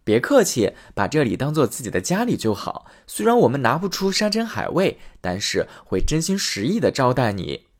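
A poor housewife from a fairy tale welcomes a tired guest with open kindness, read out by a male narrator in her voice. Her caring lines move up and down lively in pitch. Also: moderate pace, few pauses. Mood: welcoming.

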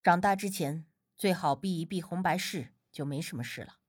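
A woman voicing a calm, gentle young man with mystical know-how in an audiobook, speaking in a measured way. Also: lowish voice, husky, dry recording, clean studio sound, voice pushed down. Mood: calm explaining.

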